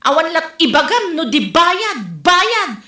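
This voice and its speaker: A woman's voice: very high voice, sing-song, slow, sharp tone, full character voice. A woman playing a lazy, shameless elder sister and mother with a whiny, brazen manner in a comedy audio drama. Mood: irritated. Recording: echoey, roomy sound.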